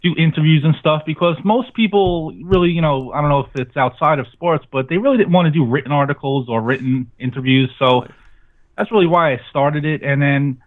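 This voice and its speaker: A man's voice: on the high side, fairly quick. A man speaking as an interview guest in casual, talkative, informal conversation. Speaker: podcast network owner, guest. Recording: tinny phone-like line.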